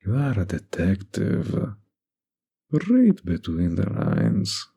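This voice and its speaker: Man playing a slow, husky flirty mafia boss. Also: deep voice, huge pitch swings, warm tone, exaggerated voice. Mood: suggestive, sly.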